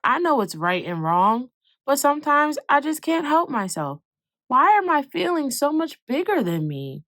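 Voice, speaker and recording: A woman reading the lines of an upset, remorseful young boy in a storybook, her voice raised higher. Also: very animated, moderate pace, a bit bright, no room sound.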